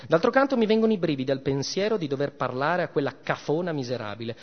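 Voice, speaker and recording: A haughty, jealous goddess mother who scolds and sneers in a raised, theatrical voice, as a male reader puts her on. The pitch sits well up. The reading is heard as a dull, muffled recording with a long hall reverb. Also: animated, quick pace, lightly acted. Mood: brooding vengeful. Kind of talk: dramatic reading of a myth.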